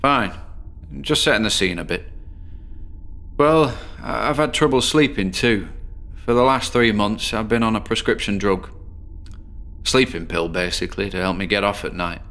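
A man's voice: lowish voice, moderate pace, creaky, long pauses, bright, forceful tone. An actor playing a lonely, downtrodden middle-aged man in a bedsit, in a radio drama, who talks in a rambling, digressive, self-deprecating way. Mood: weary. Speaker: patient in a horror audio drama. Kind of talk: first-person radio drama monologue.

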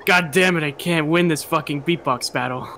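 A man's voice: a little high, normal speed, very noisy. A young man improvising comedic anime dubs with friends in a profane, exclamatory way. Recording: music behind the voice.

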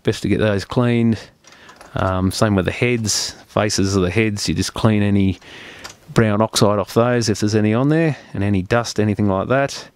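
Low-voiced man giving a practical repair demonstration. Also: moderate pace, smooth. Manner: plain-spoken, practical, step-by-step explaining. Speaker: repair technician presenting a tutorial. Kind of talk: unscripted hands-on repair demonstration.

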